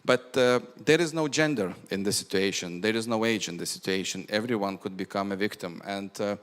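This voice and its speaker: A man giving a measured, explanatory conference talk and answering audience questions. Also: on the low side. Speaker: conference speaker on bank fraud prevention. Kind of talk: presentation and audience Q&A. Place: indoor event hall with long reverb. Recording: reverberant hall sound.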